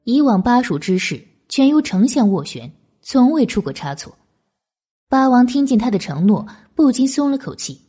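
Lively, higher-pitched woman narrating a novel. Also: normal speed, breathy edge. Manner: expressive, literary storytelling. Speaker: audiobook narrator. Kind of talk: scripted novel narration, dramatic historical storytelling.

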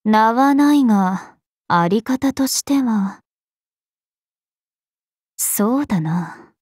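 Casting: ageless regal game princess, haughty and composed, measured pace, slightly high voice. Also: female voice, animated, darkish tone, husky, no room sound, studio quality, heavily acted. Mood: lofty, detached. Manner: imperious, archaic, composed, aloof. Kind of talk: Japanese mobile game character voice lines.

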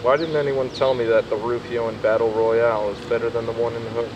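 A man's voice: mid-range voice, level tone, fairly quick, dull audio. Man, off-camera, dry and teasing, outdoor pool, noticeable background noise. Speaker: camera operator. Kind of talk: casual chat off camera.

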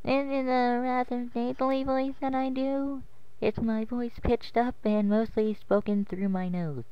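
A man speaking in a pitched-up voice pushed mostly through his nose. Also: flat delivery, measured pace, clean tone, no room sound. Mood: plain. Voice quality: nasal, pitched up. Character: nasal high-voiced storyteller. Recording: muffled lo-fi home mic.

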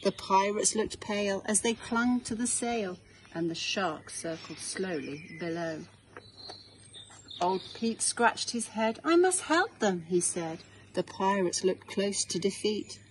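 A middle-aged woman with an English accent reads a children's storybook aloud in a measured, clearly phrased way. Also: mid-range voice, expressive. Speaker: head teacher reading a picture book. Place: outdoors in a small garden. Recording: outdoor background noise.

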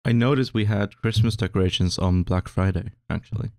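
A man's voice: on the low side, steady tone, fairly quick, darkish tone, no echo, clean studio sound. A young Swedish man co-hosting a podcast in casual chat, speaking hesitantly with many restarts. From Sweden. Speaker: podcast co-host.

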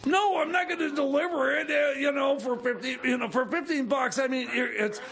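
The frazzled, broke uncle in a radio comedy who stammers through garage schemes in a high, croaking voice, acted by a man. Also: steady tone, brisk, a bit bright, heavily acted. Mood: defensive. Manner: stammering, repeating words, flustered. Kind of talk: improvised radio comedy skit.

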